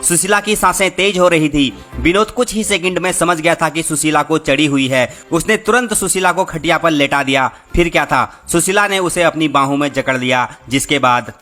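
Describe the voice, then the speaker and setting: A man's voice: on the high side, normal speed, hardly any pauses, pushed and bright. A man narrating a scripted sensational story as host, dramatically addressing his listeners. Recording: music behind the voice. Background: background music.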